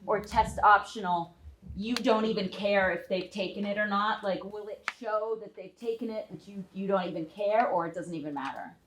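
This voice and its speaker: Loud audience member asking questions. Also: female voice, mid-range voice, normal speed, a little hiss or hum. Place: school auditorium. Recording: echoey hall.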